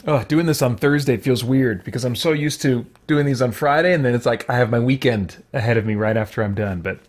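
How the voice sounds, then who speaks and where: A man's voice: medium pitch, fairly quick. A man giving casual live let's-play commentary, rambling and thinking aloud as a game streamer.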